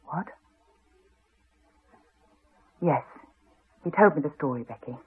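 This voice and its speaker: A woman's voice: deep voice, lively intonation, brisk, slightly rough, full character voice. An actress playing a guarded, troubled mother in a 1980s radio drama, terse and hesitant. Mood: subdued. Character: guarded, troubled mother haunted by childhood guilt. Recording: muffled, narrow-band 1980s radio broadcast.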